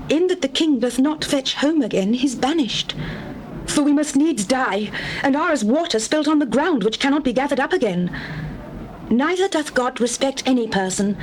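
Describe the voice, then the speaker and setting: A high-voiced pleading widow. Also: female voice, darkish tone, a little hiss or hum, rough recording. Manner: supplicating, formal, theatrical. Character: pleading widow woman, feigned mourner before a king. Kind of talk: dramatized scripture audio drama, formal archaic speech.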